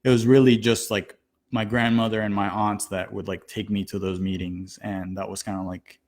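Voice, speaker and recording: A man's voice: lowish voice, flat delivery, normal speed, darkish tone. A man of about thirty from Colombia, telling his personal story as a guest in a loose, informal conversational way peppered with "like". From born in Colombia, moved to the US at nine. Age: 30s. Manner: heavy use of "like", loose and informal. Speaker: podcast guest sharing a personal testimony.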